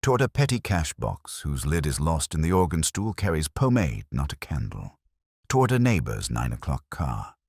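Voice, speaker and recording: A man voicing a shrewd, measured police inspector with a deliberate, dryly ironic manner in a detective story. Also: rumbling low, big pitch swings, gravelly, a little mellow, slightly rough, no room sound, exaggerated voice. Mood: musing, deductive. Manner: deliberate, dry, aphoristic.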